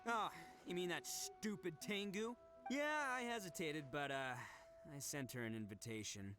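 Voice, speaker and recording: A man's voice: on the high side, moderate pace, a bit bright, faint music behind, lightly acted. A man voicing a boastful, big-hearted young oni gang leader in a video game, with bombastic, slangy delivery. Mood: indignant.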